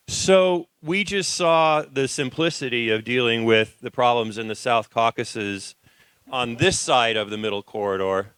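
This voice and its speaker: A man's voice: mid-range voice, a bit bright, clean tone, dry recording. A man moderating a conference panel, talking loosely and conversationally with frequent fillers and restarts. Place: conference hall.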